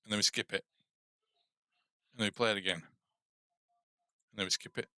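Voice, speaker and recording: Low-pitched man with a crisp, bright voice. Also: fairly even intonation, normal speed, dry recording. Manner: informal, thinking aloud. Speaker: game development tutorial host. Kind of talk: casual coding livestream commentary.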